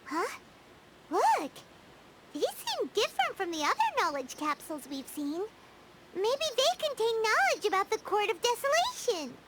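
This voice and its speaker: Game role: tiny cheerful fairy sidekick, very high, swooping, eager voice. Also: female voice, huge pitch swings, normal speed, noisy background, exaggerated voice. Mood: amazed. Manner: exclaiming, eager. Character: small, childlike, cheerful fairy companion, female. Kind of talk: video game voice acting. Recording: loud game music behind.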